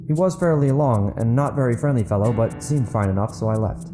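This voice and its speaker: A man's voice: medium pitch, brisk, close to an everyday voice. Audiobook character: big, boorish male busybody, blustering and yelping when worked up, played by a male narrator, over music. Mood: hesitant, defensive. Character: large, boorish, irate small-town busybody man. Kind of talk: dramatic audiobook character acting.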